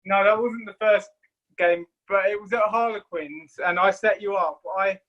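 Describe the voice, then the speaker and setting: High-voiced man on a dull line. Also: normal speed. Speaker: rugby flanker. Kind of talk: casual podcast chat.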